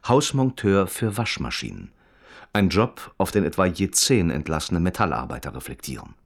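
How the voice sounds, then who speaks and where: An actor as the detached, observant narrator of a radio play, reading scripted prose in a measured, precise manner. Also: male voice, low-pitched, sing-song, full character voice. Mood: detached, matter-of-fact. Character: detached, observant male storyteller.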